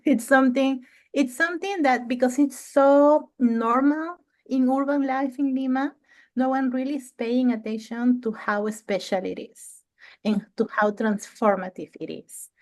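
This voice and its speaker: A woman from Peru in her thirties, a guest researcher answering interview questions thoughtfully, with occasional laughs and throat clearing. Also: medium pitch, no room sound.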